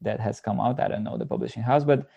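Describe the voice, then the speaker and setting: A man's voice: mid-range voice, fairly even intonation, fast talker, gravelly, breathy edge, lo-fi sound. A man from Bulgaria speaking casually as an interview guest, hesitantly searching for words. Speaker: podcast guest, literary translator.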